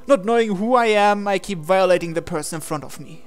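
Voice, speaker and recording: A man's voice: high-pitched, brisk, faint music behind. A man reading a visual novel aloud as a gamer, breaking into casual, incredulous asides. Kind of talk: game playthrough narration with casual asides.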